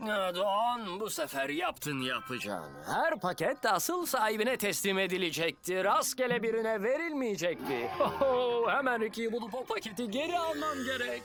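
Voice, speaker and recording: Casting: bumbling, dutiful cartoon courier, high voice, theatrical and swooping wildly in pitch. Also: male voice, very animated, moderate pace, creaky, few pauses, exaggerated voice. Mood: worried, self-reproachful. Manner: flustered, muttering to himself, theatrical. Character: flustered, bumbling, dutiful delivery vehicle, a grown male. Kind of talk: animated children's cartoon dub. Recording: loud cartoon music behind.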